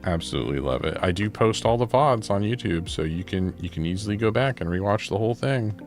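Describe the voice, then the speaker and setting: A man chatting casually during a livestream while he paints miniatures, relaxed and rambling, often correcting himself. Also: fairly low pitch, fairly quick, faint music behind.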